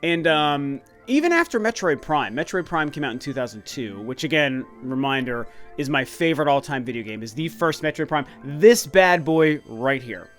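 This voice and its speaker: A man's voice: fairly high pitch, lively intonation, normal speed, few pauses, a bit bright, soft background music. A man in his late thirties hosting a video game channel, talking casually and eagerly, rambling with restarts and fillers. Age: 30s. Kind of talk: casual vlog talk.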